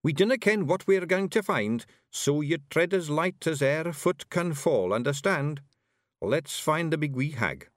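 Tiny male clan chief acted by a man, Scottish accent, raised pitch, commanding, urgent, lively swings, storybook character, dry close sound. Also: measured pace, dry recording. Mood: hushed command. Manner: forceful, urgent, plain-spoken. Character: tiny gruff male Feegle leader, commanding, fiercely protective.

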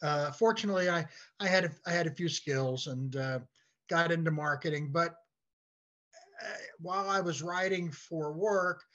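Older male interview guest, fairly high voice, hesitant word-repeating delivery, muffled lo-fi track. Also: sharp tone. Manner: halting, repeats words as he searches for phrasing. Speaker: guest, playwright and comedy writer. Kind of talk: casual interview chat. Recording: dull, lo-fi voice track.

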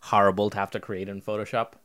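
A man's voice: fairly low pitch, little pitch movement, fast, a bit bright. A young man hosting a podcast, chatting casually with teasing, swearing banter. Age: young adult. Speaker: podcast host. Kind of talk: casual chat.

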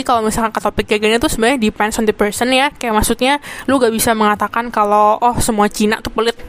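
A woman's voice: fairly high pitch, clean tone. A young woman in her twenties from Jakarta with a Jakarta accent, hosting a casual solo podcast. She chats in slang, fills her talk with asides and fillers, and drops in English words. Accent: Jakarta Indonesian. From Jakarta, Indonesia. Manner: chatty slang-heavy, mixes in English words. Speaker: podcast host.

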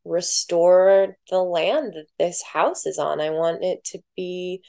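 Slow, low-pitched woman speaking softly. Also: fairly even intonation, vocal fry. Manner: soft-spoken, many "like" fillers. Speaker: podcast guest. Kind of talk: reflective casual conversation.

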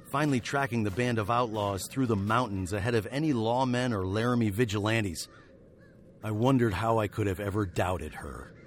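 A man's voice: lowish voice, a little hiss or hum, close to an everyday voice. An actor playing a wry fugitive western hero, narrating his tale in a literary, sardonic way for a radio drama.

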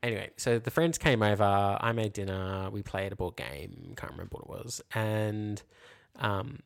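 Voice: sits low, normal speed, gravelly. A podcast co-host telling casual, comic anecdotes and acting out the quoted dialogue as they go. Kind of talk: casual comic storytelling chat.

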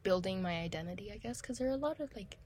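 A woman's voice: mid-range voice, expressive, fast talker, darkish tone, slight background noise. A young woman co-hosting a podcast, talking casually and hesitantly, with many filler words. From Tanzania. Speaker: podcast co-host. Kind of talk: casual chat.

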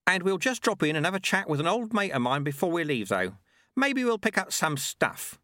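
Man voicing a wily old monk, pitched up. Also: big pitch swings, normal speed, pushed and bright, dry recording, studio quality, exaggerated voice. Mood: wry, matey. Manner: colloquial, chatty, rascally. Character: wily old monk mentor, irreverent and unbothered.

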